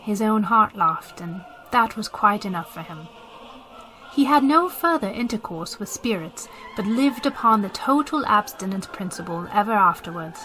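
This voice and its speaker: A woman's voice: medium pitch, animated, normal speed, bright, forceful tone, slight background noise, poor audio. A woman narrating a radio drama as a scripted storyteller, with emphatic, theatrical phrasing.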